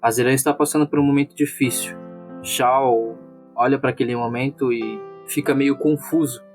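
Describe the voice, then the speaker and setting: Male tabletop player, average pitch, describing his character, with music underneath. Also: fairly even intonation, moderate pace. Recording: music playing behind.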